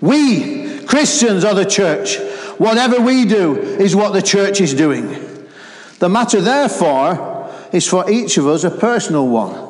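A middle-aged man with a Lancashire accent preaching a sermon, animated and calling out to the congregation. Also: high voice, unhurried. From Lancashire, England. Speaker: guest preacher. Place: church hall. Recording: roomy hall with long echo.

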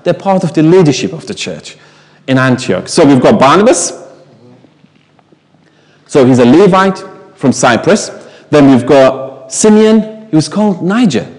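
Lively preacher man with Farsi roots. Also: a little high, measured pace, in a large hall. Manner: animated, emphatic with rhetorical questions. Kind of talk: sermon.

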